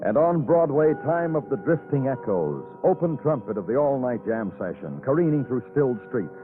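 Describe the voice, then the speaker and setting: An actor playing a world-weary police lieutenant, narrating an old radio noir drama with solemn, deliberate phrasing. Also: male voice, mid-range voice, sing-song, close to an everyday voice. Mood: brooding, poetic. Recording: tinny old radio recording, music behind.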